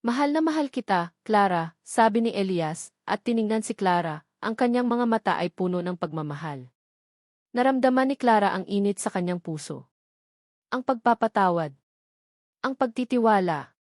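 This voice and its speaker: Woman, Filipino accent, story narrator, medium pitch, earnest and sentimental delivery, measured dramatic phrasing, dry recording. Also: expressive, darkish tone, dry recording. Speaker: narrator of online love stories.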